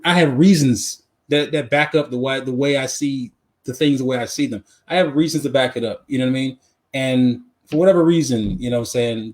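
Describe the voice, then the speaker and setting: A man chatting casually and informally as a podcast co-host, giving his opinions and repeating phrases for emphasis. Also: a little high. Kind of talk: casual live podcast chat. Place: live podcast.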